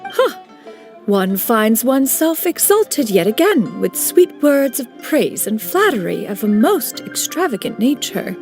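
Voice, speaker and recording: A woman's voice: on the high side, big pitch swings, unhurried, darkish tone. A woman voicing an ancient, proud female bird spirit who speaks in a pompous, archaic manner, calling herself one. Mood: haughty. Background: game music.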